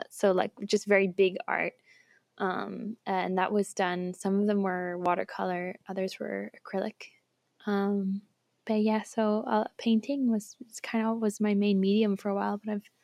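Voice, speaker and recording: Young woman guest, relaxed storyteller, slight vocal fry, remote call sound. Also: mid-range voice, no echo. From Switzerland. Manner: chatty, laughs often, lots of fillers. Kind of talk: casual interview storytelling. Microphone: remote online call recording.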